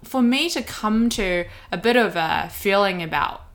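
A woman's voice: medium pitch, expressive, measured pace, a bit bright. A young woman with a New Zealand accent, chatting casually and reflectively as a co-host and often checking that the listener agrees. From New Zealand, Chinese-born heritage. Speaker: podcast co-host. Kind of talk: casual chat.

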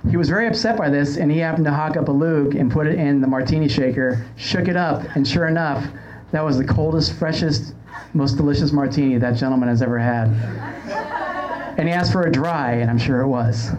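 A man's voice: on the high side, fairly quick, lo-fi sound, crowd noise. A man hosting a podcast in casual conversation, with a sarcastic, wry way of talking. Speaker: podcast host. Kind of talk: casual chat.

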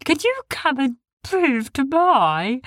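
A woman voicing the littlest of the beasts, a young female creature who is calm and reasonable. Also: high-pitched, slow pace, no room sound, studio quality, pitched above the performer's own voice, heavily acted. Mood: indignant.

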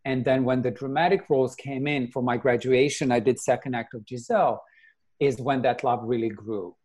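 A man's voice: mid-range voice, steady tone, moderate pace, a little mellow. Middle-aged man telling stories. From Serbia. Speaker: ballet dancer guest. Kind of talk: reflective interview storytelling.

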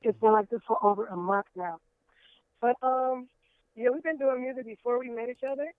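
A hoarse woman in her thirties, an interview guest and rapper, speaking plainly and matter-of-factly. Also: on the high side, a little fast, no echo. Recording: tinny phone-line sound, dry.

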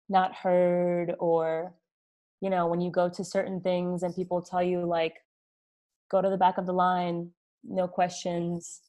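A woman in her late twenties, from Egypt and raised in New York, talks slowly in a lowish voice. She is candid and reflective and often says "like" while she thinks. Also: a little mellow. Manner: candid, reflective, with many "like" fillers. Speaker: interview guest. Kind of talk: casual conversation.

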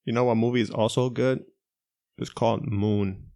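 A young man co-hosting a podcast, relaxed and joking, mostly speaking English with a few Spanish words. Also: mid-range voice, normal speed. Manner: laid-back, laughs often, mostly English with Spanish bits. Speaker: podcast co-host. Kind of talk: casual chat.

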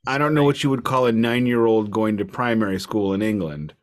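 A man's voice: on the low side, fairly quick. A man with an American accent chatting casually about music as a podcast co-host, in a wry, jokey manner. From United States. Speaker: podcast co-host reviewing albums. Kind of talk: casual music review chat.

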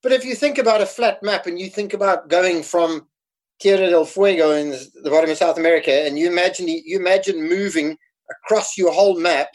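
A man's voice: high-pitched, a little fast, low-quality recording. A South African man in his fifties, a guest in casual conversation, explaining things patiently and laughing easily. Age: 50s. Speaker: podcast guest.

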